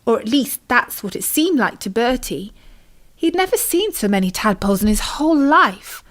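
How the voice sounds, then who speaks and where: A woman's voice: fairly high pitch, very animated, moderate pace, a bit bright. A woman with a British accent narrating a children's bedtime story expressively as a storyteller.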